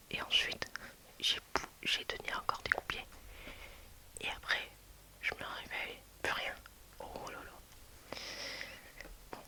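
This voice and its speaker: A woman whispering a personal story to her viewers as a video creator, hushed and hesitant, with many restarts and fillers. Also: rough recording.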